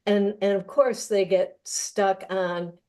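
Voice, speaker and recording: A woman with a mellow, darker tone and medium pitch takes part in a discussion. She speaks thoughtfully, building long sentences with pauses and repeated words. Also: little pitch movement. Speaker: theatre panel participant.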